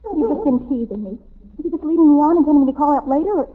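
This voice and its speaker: High-pitched voice, star-struck teenage girl, gushing, melodramatic, old narrowband radio comedy recording. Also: lively intonation, very fast. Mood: bewildered. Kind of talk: 1940s radio comedy acting.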